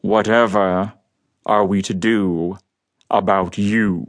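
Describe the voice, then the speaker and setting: An actor playing a huge, quietly menacing male crime boss in an audio drama, with a rumbly voice and measured, formal speech. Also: fairly even intonation. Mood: smug, matter-of-fact.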